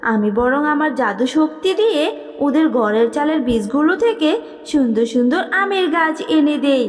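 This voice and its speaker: A woman's voice: high-pitched, animated, moderate pace, long reverb tail. A woman voicing a kindly magical being in a cartoon fairy tale, tender and compassionate in manner. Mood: pitying. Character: kindly magical being pitying a helpless girl.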